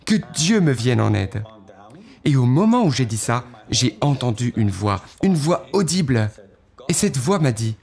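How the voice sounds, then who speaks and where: Man for an earnest testimony read, mid-pitched, very animated intonation, dramatic pauses. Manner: earnest, recounting past thoughts with dramatic pauses. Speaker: guest giving a testimony. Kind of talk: first-person testimony storytelling.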